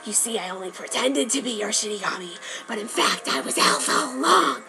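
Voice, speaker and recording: Woman voicing a sly male death god, hushed and scheming, fairly high, faint music behind. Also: animated, brisk, vocal fry, full character voice. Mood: gloating.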